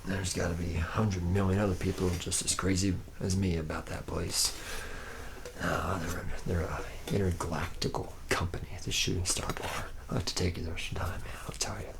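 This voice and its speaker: A man's voice: sits low, flat delivery, normal speed, creaky, background noise. A man playing a boozy, charismatic slacker robot mechanic in an ASMR roleplay, rambling in slangy, buddy-buddy talk to a trainee. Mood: rambling, boastful. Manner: rambling, slangy, buddy-buddy, slightly self-deprecating. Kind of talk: improvised ASMR roleplay, addressing the listener as a trainee.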